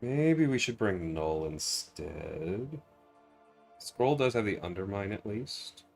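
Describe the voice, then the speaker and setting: Man, deep low voice, livestream host, casual game commentary, rambling, thinking aloud, chatty with viewers, background music. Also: animated, takes it slowly, creaky, darkish tone.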